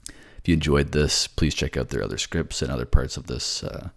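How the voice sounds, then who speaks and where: A man's voice: a bass voice, moderate pace, creaky. A man working as a voice actor and narrator, in an ASMR storytelling style. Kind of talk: soft narration and casual sign-off.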